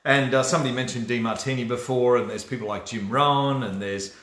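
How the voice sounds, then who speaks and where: Male livestream host, Australian accent, average pitch, casual self-help coaching chat, echoey room. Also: steady tone, a little fast, a bit bright. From Australia, born in New Zealand. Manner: chatty, checks in with "right?". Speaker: host of a personal development livestream. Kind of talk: casual motivational talk. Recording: roomy, echoey room sound.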